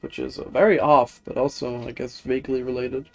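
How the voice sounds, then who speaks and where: A man's voice: mid-range voice, flat delivery, fairly quick, vocal fry, darkish tone. A man giving casual, rambling live commentary on a video game, thinking aloud in a self-deprecating way. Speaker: game streamer.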